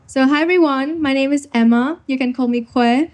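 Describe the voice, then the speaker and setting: A nineteen-year-old woman presents herself to a workshop crowd. Her voice sits a little high. She talks in a quick, informal way. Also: darkish tone. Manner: quick, informal self-introduction. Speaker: workshop speaker. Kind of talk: presenting to a live audience.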